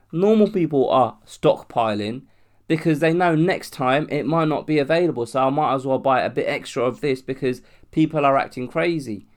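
A young man from London with a cold and a sore throat, hosting a solo podcast in a rambling, casual way, restarting and swearing as he talks. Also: medium pitch. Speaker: podcast host.

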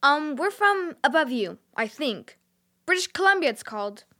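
A woman's voice: high voice, very animated, normal speed, bright, forceful tone, full character voice. A woman playing a candid young child adventurer who talks informally and a little hesitantly in a children's audio drama. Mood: earnest, defensive.

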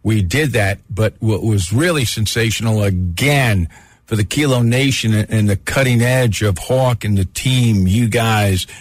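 A man who is a longtime radio station manager, telling stories on air in a loud, emphatic, enthusiastic voice. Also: fairly low pitch, unhurried, no room sound. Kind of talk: storytelling guest on a radio show. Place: radio studio.

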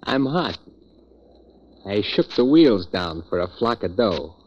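A man's voice: lowish voice, very animated, takes it slowly, darkish tone, heavily acted. An American man playing a shifty, guarded little gambler on the run in a 1940s radio drama. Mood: sly, guarded. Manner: terse, guarded, tough-talking. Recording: muffled, lo-fi 1940s radio recording.

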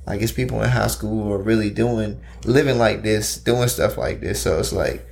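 A man co-hosting a casual chat, talking in an animated, ranting, slang-heavy way. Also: lowish voice, moderate pace, gravelly, a little hiss or hum. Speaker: podcast co-host.

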